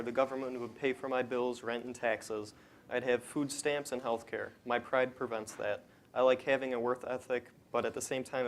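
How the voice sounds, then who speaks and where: A young man reading from a script as a student presenter at a live event. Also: medium pitch. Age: young adult. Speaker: student presenter in readers theater. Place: college library event, live on stage. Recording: faint room noise.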